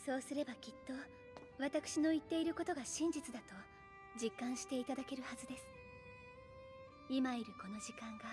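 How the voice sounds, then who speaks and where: A refined young noblewoman from an anime game, played by a woman, with a high voice. Her speech is composed, polite and genteel, set over soft background music. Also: steady tone, fairly quick, pauses often, close to an everyday voice. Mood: gentle, explanatory. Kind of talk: Japanese anime game voice acting. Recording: music behind the voice.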